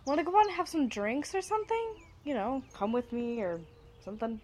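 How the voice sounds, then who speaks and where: A woman's voice: sits high, sing-song, a little fast, a little mellow. A young woman playing a sarcastic, foul-mouthed, distrustful student in an amateur radio drama, with a blunt, casual manner. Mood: casual, friendly.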